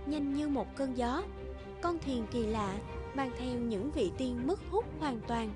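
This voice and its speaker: A woman with a very high voice narrates a fairy tale from a script. Music plays clearly beneath her storytelling. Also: slow.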